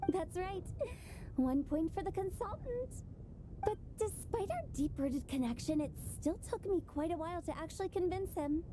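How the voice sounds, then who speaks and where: A woman's voice: extremely high pitch, lively intonation, moderate pace, background noise. A woman voicing a playful, confident young hostess in a video game, breezy and teasing, with music behind her. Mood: playful, assured.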